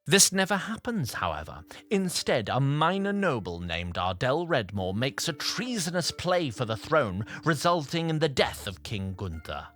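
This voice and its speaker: A man's voice: mid-range voice, sing-song, moderate pace, few pauses, a bit bright. A man narrating a scripted fantasy recap with storybook formality and wry asides.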